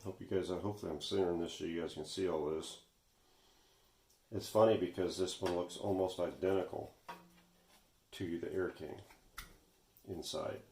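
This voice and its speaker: A man's voice: low voice, fairly even intonation, normal speed, long pauses, darkish tone. A man casually talking viewers through a hands-on workshop demonstration, unscripted and half muttering to himself as he works. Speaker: vintage radio repair video host. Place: indoor workshop. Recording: echoey room, faint background noise, clicks.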